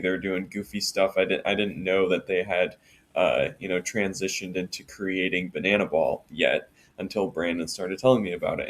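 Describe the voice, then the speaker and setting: A man with a low, deep voice co-hosts a casual podcast. He speaks in a steady monotone, pausing with "uh" and restarting his sentences as he thinks aloud. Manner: unhurried, many fillers and restarts. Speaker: podcast co-host.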